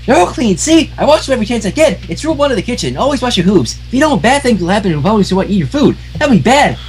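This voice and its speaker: A man voicing a hyper, cheerful young female pony baker who rambles in a high squeaky voice. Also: very animated, very fast, slight background noise. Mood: rambling. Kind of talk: amateur reading of fan fiction.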